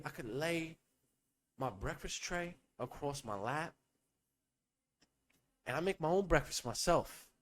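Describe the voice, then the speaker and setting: A young man hosting a comedic podcast, rambling and riffing with profanity and playful bits. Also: fairly high pitch. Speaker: podcast host.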